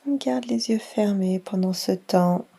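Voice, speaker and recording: A woman leading a guided yoga nidra session for children, speaking softly with long pauses between short phrases. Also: mid-range voice, gravelly, slightly rough. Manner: slow, soft guiding voice with long pauses.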